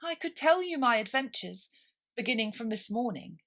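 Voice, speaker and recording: A woman's voice: fairly high pitch, expressive, moderate pace, fairly bright tone, voice pushed up. A woman voicing a polite, timid young girl in a storybook reading.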